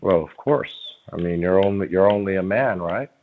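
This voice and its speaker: A man on a phone call, talking in a coaxing, agreeable sales manner with hesitant pauses. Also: deep voice, a little hiss or hum. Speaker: phone caller pitching a sweepstakes prize. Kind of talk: conversational phone sales talk. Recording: muffled, narrow-band phone call.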